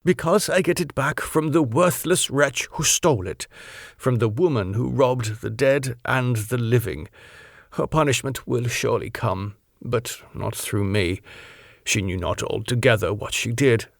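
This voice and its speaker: A man's voice: medium pitch, a little slow, vocal fry, dry recording, clean studio sound. A man voicing a stern, solemn older professor and vampire hunter in an audiobook, speaking in grave, terse statements.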